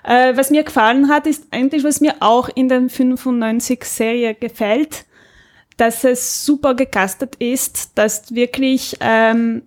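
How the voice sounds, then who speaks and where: A woman's voice: on the high side, fairly even intonation, a little slow. A woman talking casually as a podcast co-host. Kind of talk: casual chat.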